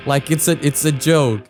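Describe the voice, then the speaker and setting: Man giving hesitant casual commentary. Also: fairly high pitch. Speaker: reaction video host. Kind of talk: casual reaction and analysis commentary.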